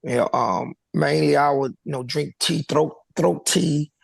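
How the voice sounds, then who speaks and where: A naturally raspy man chats in a loose, laid-back way with plenty of fillers, his voice fairly high for a man. There is a deep gravelly fry all through it, and the sound is husky. He is recorded on a dry, close podcast mic. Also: measured pace, no echo. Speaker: guest singer. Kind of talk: casual interview chat.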